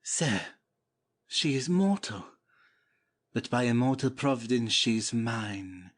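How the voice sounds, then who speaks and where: A sincere young prince played by a man with a husky voice. He speaks slowly and courteously, like a devoted lover in a Shakespeare audiobook. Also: medium pitch, animated. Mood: earnest, reverent. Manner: courtly, earnest. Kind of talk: dramatic Shakespeare audiobook reading.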